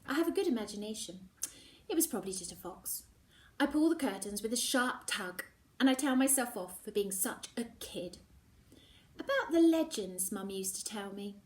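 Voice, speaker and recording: A woman teacher reading a novel aloud, expressive and slipping into whispers at tense moments. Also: medium pitch, normal speed, far from the mic. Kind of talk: storybook reading.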